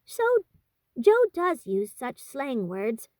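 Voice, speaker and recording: A woman's voice: fairly high pitch, sing-song, takes it slowly, mellow, no echo, pitched above the performer's own voice. A woman voicing a fussy, proper young girl in a storybook, pert and full of wounded dignity. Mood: reproving. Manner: pert, indignant, affectedly prim.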